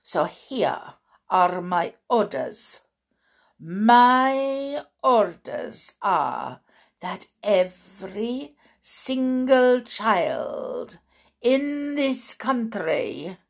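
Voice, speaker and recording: A woman's voice: medium pitch, sing-song, gravelly, heavily acted. A woman voicing a tyrannical, cruel grand witch leader in an audiobook, menacing and imperious, drawing out her words. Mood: commanding, menacing. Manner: imperious, drawn-out, menacing delivery.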